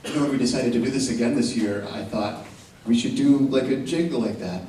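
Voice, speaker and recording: A man's voice: mid-range voice, fairly quick, a crowd in the background. A middle-aged man introducing a show from a theater stage in a plain, informal host's style. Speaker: event host introducing shows. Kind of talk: casual stage introduction, partly read. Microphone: stage PA, far camera. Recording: very echoey hall, distant mic, faint noise.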